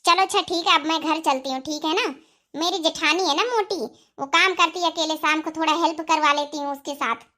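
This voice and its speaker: A woman's voice: extremely high pitch, fairly quick, bright, forceful tone. A woman voicing a vain, defiant young schoolteacher wife in a cartoon comedy, with a sassy, haughty manner. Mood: coy.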